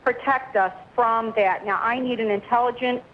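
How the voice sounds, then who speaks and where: A woman's voice: on the high side, fairly even intonation, normal speed. A woman calling in to a radio show, speaking conversationally. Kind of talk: conversational call-in question. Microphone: phone. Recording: dull call-in line, faint radio noise.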